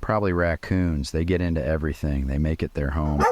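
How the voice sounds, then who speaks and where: A man playing a gruff, cautious character who speaks tersely and with understatement in an audio drama. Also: rumbling low, steady tone, fairly quick, a little mellow, dry recording. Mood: sober. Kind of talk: scripted fiction podcast acting.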